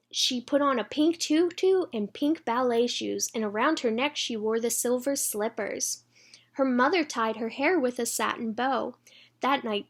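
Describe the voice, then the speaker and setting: A woman reading a children's story aloud expressively, engaging young listeners as she goes. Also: fairly high pitch. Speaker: children's read-aloud reader.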